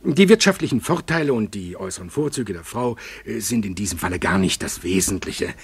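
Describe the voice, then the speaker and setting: An actor playing a fatherly, gently moralizing family friend in a German radio drama, earnest and hesitant as he picks his words. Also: on the low side, animated, brisk, breathy edge, no echo, heavily acted. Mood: solemn persuasion.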